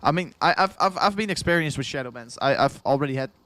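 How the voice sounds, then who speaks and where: A man's voice: a little high, expressive, fast talker, fairly bright tone, no room sound. Dutch man rambling on a livestream. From Netherlands. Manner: rambling, repeats himself, chuckles often, reads chat aloud. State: tired after hours of streaming. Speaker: crypto livestream host. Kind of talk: casual livestream chat.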